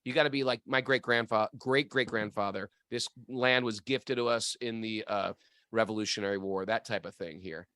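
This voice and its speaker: A man co-hosting a relaxed spiritual discussion, thinking aloud in a musing way with frequent restarts. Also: fairly low pitch, moderate pace, clean tone, no echo, clean studio sound. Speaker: podcast co-host. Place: home area in New England.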